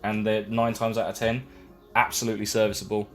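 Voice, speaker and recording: Man, fairly low voice, rambling casual live-stream chat, self-deprecating, faint music behind. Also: monotone, brisk. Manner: rambling, self-deprecating, talks while working. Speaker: streamer building a case.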